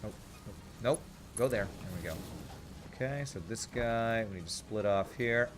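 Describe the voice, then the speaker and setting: A man's voice: fairly low pitch, normal speed, a little hiss or hum. A man with a slight Texas twang, thinking aloud as he casually narrates his gameplay. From California, raised in Texas from age nine. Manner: thinking aloud, mumbling asides. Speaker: gaming channel host.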